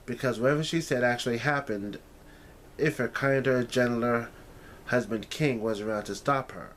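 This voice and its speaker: A man's voice: medium pitch, slight background noise, low-quality recording. A man narrating a story as if for an audiobook, occasionally stumbling and repeating phrases as he reads.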